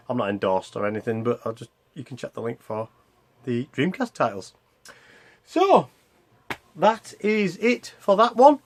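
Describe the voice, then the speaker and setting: A man hosting a retro gaming vlog in a casual, unscripted way, rambling and hesitant as he interrupts himself. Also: a little high. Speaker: host of a retro gaming video channel.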